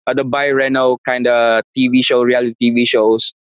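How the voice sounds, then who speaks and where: A man talks through his answers in a relaxed, conversational way, pausing to search for the right word and restarting phrases. His voice sits at an ordinary middle pitch. The sound is dry and close, with no room echo. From Philippines. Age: 30s. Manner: searches for words, frequent restarts. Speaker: guest, property investor. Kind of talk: casual interview answers.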